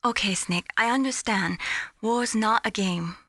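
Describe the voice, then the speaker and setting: An actress playing an earnest, gently teasing young female radar technician in a video game. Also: medium pitch, measured pace, dry recording, clean studio sound. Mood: thoughtful. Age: young adult. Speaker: mission support operator. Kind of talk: scripted video game voice acting.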